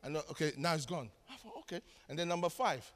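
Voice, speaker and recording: A man with a Ghanaian accent preaching a sermon as pastor, telling stories with humor and swinging from shouts to hushed asides. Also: on the high side, sing-song, quick pace. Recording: long hall reverb.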